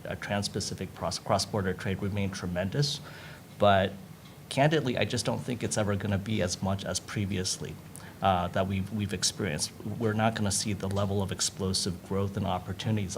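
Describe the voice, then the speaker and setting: An American man with a fairly low voice speaks off the cuff as a panelist. He is halting, often restarting as he thinks aloud. There is noise behind him in the live event recording. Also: gravelly. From United States. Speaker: panelist, lawyer. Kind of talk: unscripted panel remarks.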